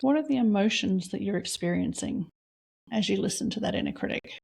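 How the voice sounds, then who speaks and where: A woman leading a guided reflection exercise, asking slow, soft questions with long pauses between them. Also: medium pitch, animated, gravelly, husky. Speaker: meditation guide.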